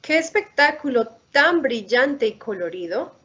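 A woman's voice: sits high, sing-song, moderate pace, a bit bright. A woman reading a children's picture book aloud over a video call. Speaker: storybook reader. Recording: muffled, lo-fi video call audio.

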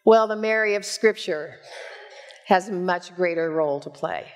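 A woman in her sixties preaching as a pastor, with measured, expressive storytelling, on a headset mic in a church. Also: lowish voice. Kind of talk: sermon with personal storytelling. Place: church sanctuary, at a pulpit.

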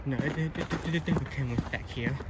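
A man giving casual, rambling walk-around commentary as a vlogger, halting and repeating words as he goes. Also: mid-range voice. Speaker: vlogger exploring abandoned retail stores. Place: outdoors in snowy empty retail lot. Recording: loud outdoor ambient noise.

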